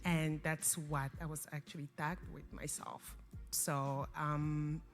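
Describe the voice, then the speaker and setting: A woman's voice: low-pitched, little pitch movement, slow pace, soft background music. A young woman whose first language is French, a guest earnestly telling her story in an interview, with many fillers and restarts. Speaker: guest, organization founder. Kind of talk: interview conversation.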